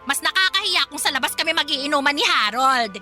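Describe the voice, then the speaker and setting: Radio drama role: shameless tipsy mother, very high, piercing, argumentative. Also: lively intonation, brisk, bright, forceful tone, soft background music, exaggerated voice. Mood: defiant. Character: brazen, tipsy mother of grown daughters, defiant. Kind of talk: Filipino radio drama acting.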